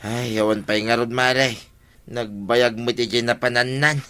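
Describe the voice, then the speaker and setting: A man's voice: medium pitch, steady tone, measured pace, bright, forceful tone, clean tone. A man with an Ilocano accent playing a grumbling, lonesome village husband in a radio comedy. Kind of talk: radio comedy drama acting.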